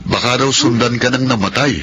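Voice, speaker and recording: An actor playing a superstitious, excitable husband and father in a Filipino radio drama. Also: medium pitch, a little fast, slightly rough. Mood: uneasy, chiding. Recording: dull lo-fi radio with faint music.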